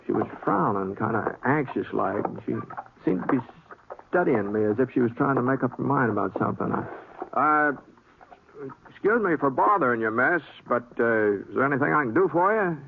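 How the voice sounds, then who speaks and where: An actor playing a gentle, bashful American cowboy in an old radio western, husky, with a halting, stammering drawl. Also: male voice, medium pitch, expressive, slight background noise. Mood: folksy, reflective, then bashful, polite. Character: gentle, bashful drifting cowboy, plain-spoken. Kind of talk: 1950s radio western acting, folksy narration and dialogue. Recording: tinny old-time radio recording.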